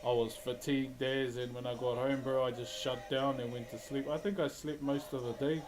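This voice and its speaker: A man's voice: mid-range voice, little pitch movement, normal speed. A man with a New Zealand accent, a wood carver chatting casually with live stream viewers in a laid-back, chuckling, slangy way. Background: background music.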